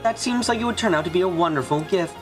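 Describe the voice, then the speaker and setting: Woman as elder witch mentor, lowish voice, courteous, formal, measured, fantasy game, music behind. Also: animated, natural voice. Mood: gracious.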